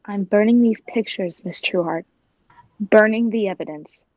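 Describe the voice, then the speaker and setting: A woman's voice: mid-range voice, normal speed, darkish tone, no echo, highs cut off, lightly acted. A woman playing a cold, menacing, murderous circus tiger trainer in a 1940s style radio play. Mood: coldly menacing.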